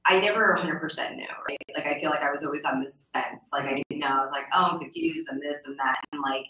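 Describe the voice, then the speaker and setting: A woman's voice: deep voice, quick pace, pushed and bright, far from the mic. A woman hosting a casual podcast interview, speaking in an informal, chatty way and often checking in with "right?". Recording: roomy echo, off the mic.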